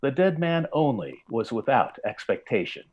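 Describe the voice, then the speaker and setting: A man narrating a scripted story in old-time radio style, with measured, deliberate delivery and dramatic pauses. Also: medium pitch, very animated, darkish tone, highs cut off.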